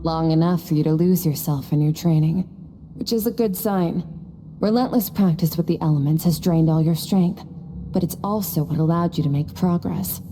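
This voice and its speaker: Brief: actress playing a composed female mentor, slightly low voice, clear noise in the background. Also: lightly acted. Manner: composed, approving instruction. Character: calm, exacting female mentor. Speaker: game character. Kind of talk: video game cutscene voice acting.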